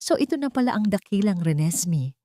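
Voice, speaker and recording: A woman voicing a haughty older mother-in-law, a rich matriarch with a sharp, sarcastic and commanding manner. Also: medium pitch, sing-song, fairly quick, dry recording, clean studio sound. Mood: sarcastic contempt.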